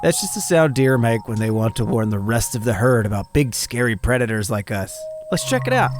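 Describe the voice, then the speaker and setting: A man voicing a breezy, excitable woman who hunts cryptids, reading game dialogue in character. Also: medium pitch, fairly quick, lightly acted. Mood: reassuring. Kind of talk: reading visual novel dialogue aloud in character. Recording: music and chirping night insects behind.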